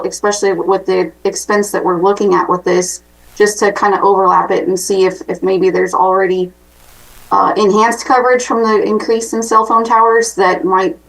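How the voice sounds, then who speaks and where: A woman's voice: mid-range voice, a bit bright, recorded from a distance, low-quality recording. Woman speaking slightly off-mic at a meeting. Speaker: county staff member advising a meeting. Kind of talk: informal remarks at a public meeting.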